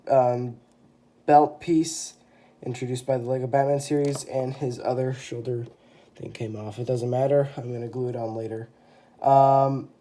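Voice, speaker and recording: A man's voice: medium pitch, warm tone. A young man with a stuffy, congested voice from a cold, casually showing off a custom toy figure with lots of ums and self-corrections. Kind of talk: casual show-and-tell. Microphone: phone camera.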